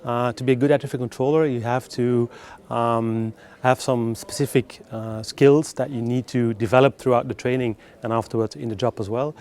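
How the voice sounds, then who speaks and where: A man giving explanatory interview answers as a recruitment specialist, with measured, hesitant phrasing in non-native English. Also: medium pitch, fairly even intonation, darkish tone.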